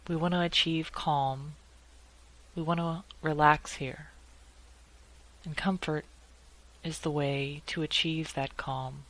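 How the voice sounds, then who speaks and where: A woman leading a guided meditation in a calm, measured way, leaving long pauses between short phrases. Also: low-pitched, rough recording.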